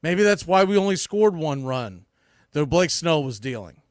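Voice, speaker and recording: A man with a slightly high, very clear and smooth voice, recorded close and dry. He delivers opinionated baseball commentary as a podcast host, leaning hard on key words and stretching them out for emphasis. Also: very animated, moderate pace, no echo.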